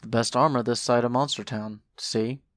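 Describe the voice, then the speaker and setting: Woman doing a laid-back, low-voiced male skeleton slacker. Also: steady tone, moderate pace, no room sound, studio quality. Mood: breezy, joking. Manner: casual, nonchalant, drawling slang. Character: laid-back, joking skeleton older brother, a lazy swindler.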